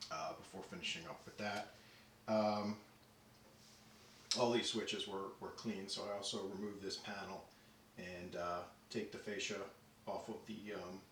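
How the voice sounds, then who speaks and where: Low-voiced man, roomy distant recording. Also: fairly bright tone. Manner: halting, methodical explaining. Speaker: audio deck restorer demonstrating work. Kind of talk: unscripted technical walkthrough. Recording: roomy, distant mic, faint noise.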